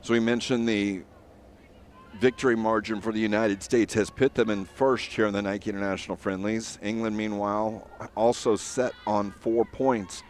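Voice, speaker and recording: A man giving live play-by-play commentary on a soccer match, steady and filler-heavy with frequent pauses. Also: fairly low pitch, a little hiss or hum.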